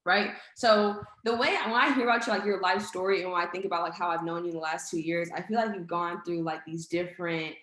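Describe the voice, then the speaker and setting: A woman's voice: on the low side, fairly even intonation, quick pace, few pauses, a bit bright. A woman hosting a casual podcast interview, asking questions in an upbeat way with plenty of fillers. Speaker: podcast host. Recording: echoey room.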